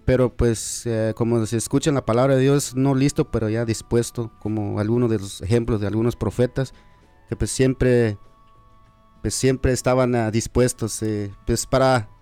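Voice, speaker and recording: Halting man from Guatemala, dark voice. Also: mid-range voice, steady tone, smooth, no room sound, faint music behind. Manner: halting, many filler words. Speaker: missionary. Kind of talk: hesitant testimony.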